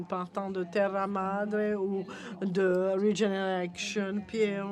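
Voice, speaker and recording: A woman works as a simultaneous interpreter at a conference. Her mid-pitched voice stays even and moves slowly. She delivers phrases in halting pieces, correcting herself as she goes.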